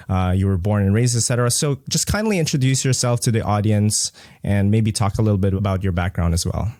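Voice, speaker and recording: A man's voice: on the low side, a little mellow, no echo, clean studio sound. A man hosting a podcast interview, polite and a little formal, with a few hesitations. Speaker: podcast host.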